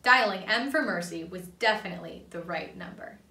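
Young female storybook reader, bright and expressive, slightly distant from the mic. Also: lowish voice, brisk. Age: young adult. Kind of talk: expressive read-aloud narration. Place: small indoor playroom. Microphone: camera a few feet away. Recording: roomy, slightly distant microphone.

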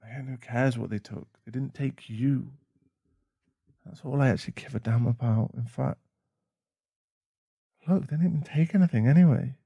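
Man acting a soft gravelly boyfriend, soothing. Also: medium pitch, no room sound, muffled recording. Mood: reassuring, loving. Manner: soft, soothing, halting, sighing. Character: caring, protective, shaken boyfriend comforting a partner. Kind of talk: ASMR boyfriend roleplay, intimate hushed talk. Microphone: close mic.

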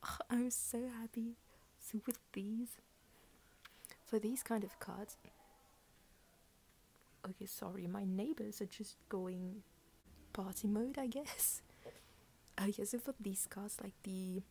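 A woman's voice: medium pitch, takes it slowly, creaky, long pauses, dark tone, slight background noise. A tired young woman whispering at home, gushing and rambling as she unboxes collectible cards. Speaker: unboxing vlogger.

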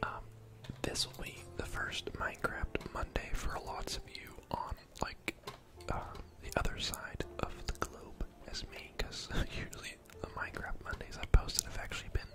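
A young man whispering casual, rambling ASMR commentary over a game, close to the mic in a breathy, unvoiced voice. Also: normal speed. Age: young adult. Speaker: gaming ASMR host. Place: indoor room at home.

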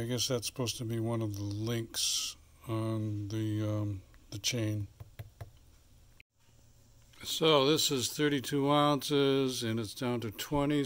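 A middle-aged man hosting a casual how-to video from his garage, rambling and thinking aloud with plenty of fillers. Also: mid-range voice. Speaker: channel host. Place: home garage workshop, then outdoors.

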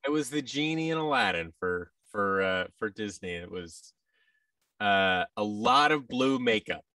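A man's voice: fairly low pitch, animated, measured pace, sharp tone, no echo, distant mic. A man in his thirties talking casually as an interview guest. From United States. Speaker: interview guest, actor and voice-over artist. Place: large indoor room with tables, on video call. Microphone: boom-arm microphone, headset.

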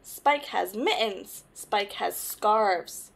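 Slow, very animated young woman reading to children. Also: mid-range voice, vocal fry. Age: young adult. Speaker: storytime host. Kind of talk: children's picture book read-aloud.

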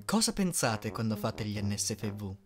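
Very low, animated young woman's voice. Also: normal speed, soft background music. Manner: giggly, blunt, hesitant phrasing. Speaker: amateur voice dubber and writer answering fan questions. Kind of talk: casual double interview.

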